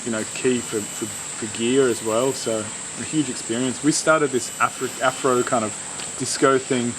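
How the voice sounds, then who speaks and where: A man's voice: mid-range voice, fairly even intonation, normal speed, noisy background. A man from Australia, a musician talking about a collaboration in a laid-back, slangy way. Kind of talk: casual interview answer.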